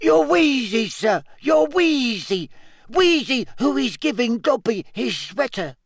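High squeaky little house elf. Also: male voice, big pitch swings, takes it slowly, dry recording, clean studio sound, full character voice. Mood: earnest, anxious. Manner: speaks of himself in the third person, deferential. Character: small eager male house elf servant, earnest, frantic. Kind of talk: audiobook character acting.

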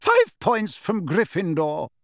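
Male reader playing a strict elderly schoolmistress, sharp and clipped, raised pitch, dry close sound. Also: huge pitch swings, slow, creaky, no echo, exaggerated voice. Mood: stern scolding. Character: stern strict older female teacher.

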